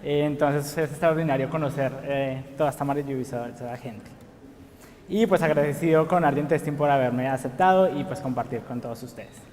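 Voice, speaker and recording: A man's voice: mid-range voice, normal speed, darkish tone, slight background noise. A young man with a Bogotá Colombian accent, giving a conference talk with frequent hesitations and fillers. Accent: Bogotá Colombian Spanish. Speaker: conference speaker. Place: conference hall. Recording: long reverb of a conference hall.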